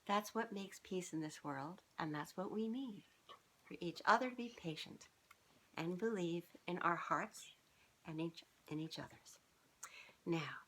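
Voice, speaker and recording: Low-voiced woman reading to children. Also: breathy edge. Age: middle-aged. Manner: expressive, speaking directly to young children. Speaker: children's author reading aloud. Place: small furnished living room, indoors.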